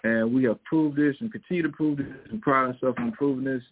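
Midwestern male host, husky voice, tinny phone-quality audio, chatty and emphatic, talks right to the audience. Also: medium pitch, fast. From Missouri, American Midwest. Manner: conversational, emphatic, keeps addressing listeners directly. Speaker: show host and priest. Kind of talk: casual news commentary with scripture talk.

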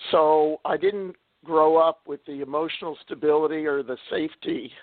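Hoarse-voiced male guest on the phone. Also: a little high, fairly even intonation, moderate pace, no echo. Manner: chatty, laughs often, many fillers. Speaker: guest author. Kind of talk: casual interview talk. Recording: tinny phone line.

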